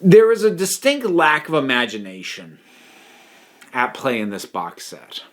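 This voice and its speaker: A man's voice: mid-range voice, wildly swooping pitch, a little slow, fairly bright tone. A man giving an opinionated, conversational review, stressing key words emphatically. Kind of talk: opinionated audio drama review to camera, conversational.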